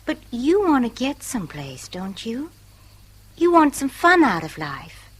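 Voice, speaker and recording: A woman playing a sharp-tongued, snobbish, impatient rich wife in a radio drama. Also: on the high side, sing-song, a little slow, husky, full character voice. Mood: cool, pointed. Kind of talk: British stage-style radio drama acting.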